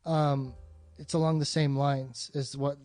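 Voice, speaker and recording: Male podcast co-host, slightly high voice, dry close sound, sweary and opinionated film chat, faint music behind. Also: little pitch movement, unhurried, darkish tone, dry recording. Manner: profane, emphatic, opinionated. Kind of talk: casual film discussion.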